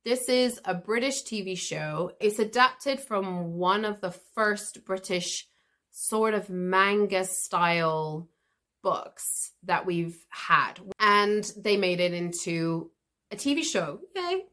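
A woman hosting an English-learning podcast, giving chatty advice straight to the listener in a lively, expressive way. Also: mid-range voice, slow pace. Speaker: English teacher and podcast host.

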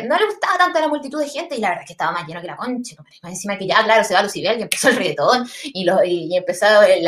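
A Chilean woman in her thirties tells a comic personal anecdote in a casual, rambling way. Her speech is full of slang and swearing, and her voice sits a little high for a woman. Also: a bit bright. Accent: Chilean Spanish. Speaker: storyteller.

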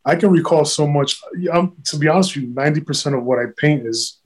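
A man's voice: fairly high pitch, fast talker, slightly rough. A man, a guest artist talking bluntly and swearing freely in a casual podcast chat. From South Bronx, New York.

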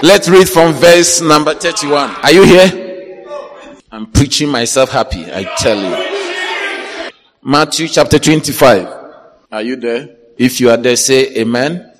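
A man preaching an animated sermon, quizzing the congregation and breaking into shouts and laughter. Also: mid-range voice, a little slow, pauses often. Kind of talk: lively church sermon. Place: church. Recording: reverberant church hall with congregation.

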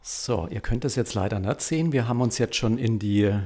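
A man's voice: lowish voice, brisk, dark tone. Man hosting a podcast interview. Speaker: podcast host.

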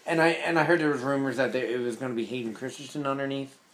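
A man co-hosting a podcast, talking casually and agreeably with short replies and excited asides. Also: mid-range voice, fast talker. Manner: relaxed, agreeable, short interjections. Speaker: podcast co-host. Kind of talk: casual chat.

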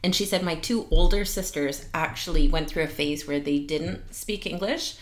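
A woman's voice: deep voice, normal speed, echoey room, slight background noise. A middle-aged woman in a casual interview, encouraging and affirming as she listens and shares her own story. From Canada. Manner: warm, encouraging, with frequent affirming murmurs. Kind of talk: casual conversation.

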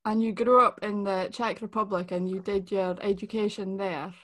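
A woman's voice: mid-range voice, steady tone, moderate pace. A woman from Shetland hosting a podcast interview, upbeat and welcoming, often laughing. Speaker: podcast host.

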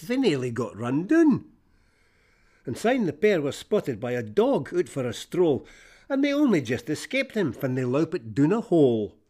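Animated Scottish storyteller reading verse. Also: male voice, mid-range voice. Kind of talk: expressive reading of rhyming fables in Scots.

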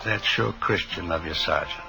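Deep gravelly old radio drama churchgoing drifter, earnest and grateful, music behind. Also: male voice, moderate pace, low-quality recording. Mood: earnest, hopeful. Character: pious, earnest down-and-out man grateful for small favors. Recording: vintage radio recording with music.

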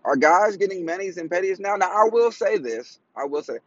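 A man in his thirties hosting a casual solo podcast, with a high, raspy, cracky voice, talking in slang, rambling and laughing at his own jokes. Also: animated, brisk, no room sound, highs cut off. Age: 30s. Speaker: podcast host.

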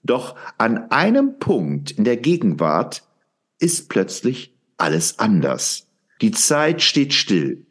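Slow, theatrical male storyteller with swooping pitch. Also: huge pitch swings, fairly bright tone, breathy edge. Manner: theatrical, addresses the listener directly. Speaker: narrator. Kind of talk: scripted audio play storytelling.

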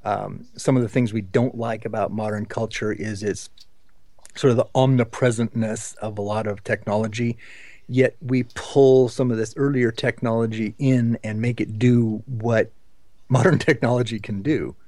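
A man's voice: medium pitch, steady tone, moderate pace, warm tone, no room sound. A man hosting a podcast discussion in an informal, chatty way, with some enthusiasm for the subject. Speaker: podcast host.

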